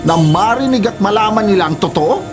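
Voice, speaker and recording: A man's voice: high-pitched, animated, fairly quick, over music. A man acting as an indignant, righteous husband in a Filipino radio drama. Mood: furious, accusing.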